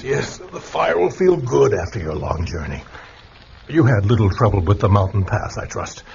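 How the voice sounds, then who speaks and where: Creaky old philosopher, swooping expressively. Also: male voice, medium pitch, darkish tone, a little hiss or hum. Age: older. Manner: theatrical, musing, with rhetorical questions. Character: talkative, opinionated old male philosopher on an alien world. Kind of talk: vintage-style radio drama acting. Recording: dull lo-fi radio drama recording. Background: music.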